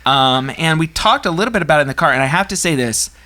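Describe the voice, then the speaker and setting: A man's voice: fairly high pitch, lively intonation, bright, forceful tone. A middle-aged man co-hosting a podcast in casual chat, upbeat and laughing often. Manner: laughing, upbeat, quick restarts. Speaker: podcast co-host.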